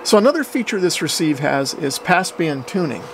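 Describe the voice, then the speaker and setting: A man's voice: on the high side, sing-song, normal speed. A man giving a casual, informal hands-on equipment tutorial in an explanatory way, recorded on a camera microphone. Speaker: radio hobbyist demonstrating equipment. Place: indoor workshop with radio gear. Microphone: camera microphone at a short distance. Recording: noisy camera mic with fan hum. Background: cooling fan noise.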